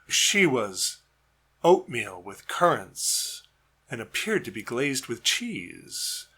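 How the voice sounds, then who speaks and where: A man reading his own narrative poem as a storyteller, with measured, deliberate phrasing. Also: mid-range voice, big pitch swings, bright, forceful tone, slightly rough. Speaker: poet reading own work.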